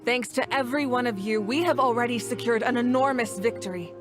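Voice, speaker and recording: A woman playing a dignified, solemn leader of a nation in a video game, speaking in formal, measured oratory. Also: a little high, music in the background, close to an everyday voice. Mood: stately. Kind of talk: scripted video game voice acting.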